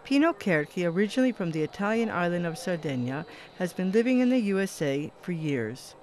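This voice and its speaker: Higher-pitched scripted narrator, a man. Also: sing-song, brisk, a little mellow, slight background noise. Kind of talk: scripted news feature narration.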